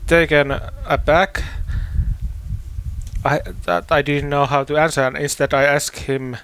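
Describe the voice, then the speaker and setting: Slow, deliberate Finnish-accented man preaching. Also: on the high side, level tone, fairly bright tone, a little hiss or hum, rough recording. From Finland. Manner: halting, deliberate phrasing with repetitions. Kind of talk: sermon with scripture reading and personal testimony.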